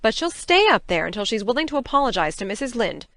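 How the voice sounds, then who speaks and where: Woman playing a stern farm woman, animated and crisp. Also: fairly high pitch, fast, no room sound. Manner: crisp, sharp, no-nonsense. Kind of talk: dramatised audiobook acting.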